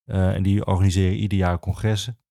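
A man speaking as a guest in an informal podcast interview, explaining his work as an archivist in halting phrases with frequent pauses. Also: low-pitched, level tone, vocal fry, dark tone, dry recording, clean studio sound.

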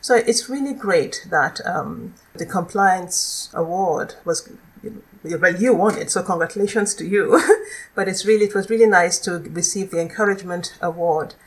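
Woman, Nigerian accent, middle-aged, measured and articulate, lower voice, podcast interview guest. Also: poor audio. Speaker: guest, law lecturer.

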